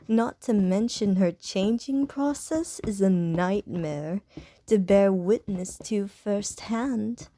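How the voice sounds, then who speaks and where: A woman voicing a flirtatious, predatory female vampire in an ASMR roleplay, speaking softly and teasingly and slipping into whispers. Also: mid-range voice. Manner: slow, soft, teasing, drops into whispers.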